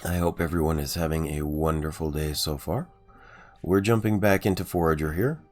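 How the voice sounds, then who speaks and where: A man with an extremely deep voice talks his way through a video game stream, loose and unhurried. His throat is sore today, so the voice comes out raspy. Faint music plays underneath. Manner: relaxed, rambling, with frequent ums and uhs. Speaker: gaming streamer. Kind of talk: casual let's-play commentary.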